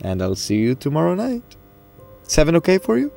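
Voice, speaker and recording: A man's voice: on the high side, huge pitch swings, normal speed, vocal fry, mellow, faint music behind, exaggerated voice. An actor playing a friendly, reassuring, hospitable man in charge in an audio drama. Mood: amused, friendly.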